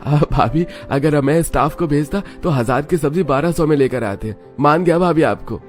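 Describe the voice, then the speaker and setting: A man's voice: on the high side, moderate pace, faint music behind, lightly acted. A man voicing a young, respectful, impressed restaurant-owner brother-in-law in a cartoon family drama.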